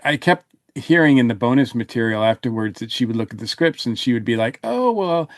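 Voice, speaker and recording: A man talking casually as a podcast panelist, giving an animated review and acting out imagined quotes. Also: medium pitch, a little fast, no room sound, clean studio sound.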